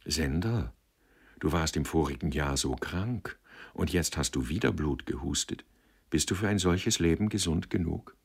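A man playing a kindly old man who mediates with concern, in a measured, entreating, murmuring manner, in an audiobook. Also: extremely low pitch, lively intonation, gravelly, husky, heavily acted.

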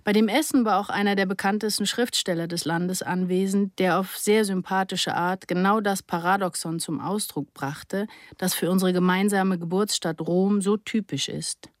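A woman reading a reflective literary memoir aloud in a measured, articulate way. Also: medium pitch, steady tone, dry recording, clean studio sound. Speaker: narrator reading a first-person memoir. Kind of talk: scripted literary narration, reflective essay read aloud.

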